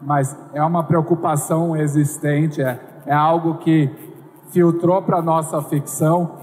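A man giving a measured, explanatory interview answer. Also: a little high, little pitch movement, a little mellow. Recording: echoey hall.

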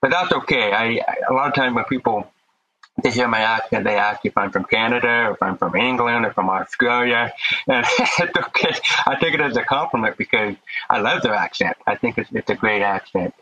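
A man's voice: mid-range voice, brisk, sharp tone, highs cut off. Earnest man with a deaf accent. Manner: earnest, speaks with conviction, repeats words when starting. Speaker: podcast guest. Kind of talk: casual interview talk.

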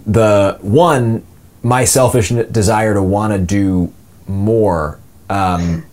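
A young man talks through interview answers in a relaxed, meandering way, circling back with fillers and false starts. His voice sits very low. He is an actor and co-producer describing his work. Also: slow. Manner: rambling, many fillers and restarts.

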